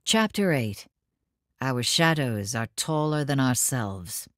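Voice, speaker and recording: A woman's voice: rumbling low, lively intonation, slow, no room sound, exaggerated voice. An actress playing a wry, sceptical woman who comments in an audio drama with dry understatement. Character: wry, deep-voiced female commentator, drily sceptical. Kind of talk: scripted audio drama narration.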